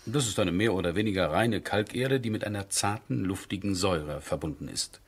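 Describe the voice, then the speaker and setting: An actor playing an earnest, courteous military captain with a scientific bent, speaking measured, formal in a 1970s radio drama. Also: male voice, lowish voice, husky. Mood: lecturing.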